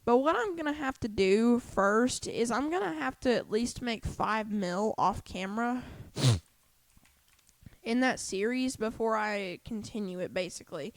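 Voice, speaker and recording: A woman's voice: a little high, no echo. A woman gaming YouTuber, congested after just waking up, talking casually to her viewers in a rambling, self-correcting way with gamer slang.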